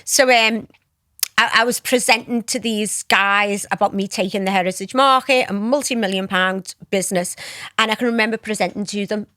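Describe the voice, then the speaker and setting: A woman from Liverpool in her thirties with a strong Scouse accent, a podcast guest who tells blunt, animated stories and acts out the voices in them. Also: mid-range voice, moderate pace, no room sound, studio quality. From Liverpool, England. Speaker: guest, entrepreneur. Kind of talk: casual interview chat.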